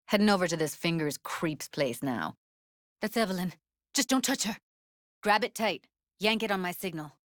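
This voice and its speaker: A woman's voice: on the low side, lively intonation, normal speed, a bit bright, no echo, clean studio sound. A woman voice-acting a tough, blunt but tender-hearted young techie in a video game, with clipped, slangy, sweary phrasing. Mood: matter-of-fact, guarded.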